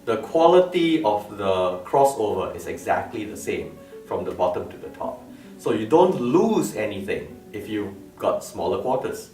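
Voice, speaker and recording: A man's voice: lowish voice, sing-song, normal speed, warm tone. A man giving an informal product talk as a designer, explaining emphatically with repeated words and rhetorical questions, recorded in a room. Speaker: loudspeaker designer presenting his product line. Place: medium-sized living-room-like room indoors. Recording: roomy, distant mic with music behind. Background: plucked lute music.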